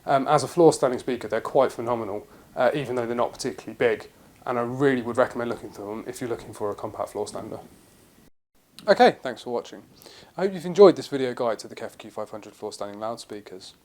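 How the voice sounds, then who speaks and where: A man's voice: mid-range voice, fast talker, a little mellow. A British man presenting a product review in an explanatory, matter-of-fact way, partly scripted.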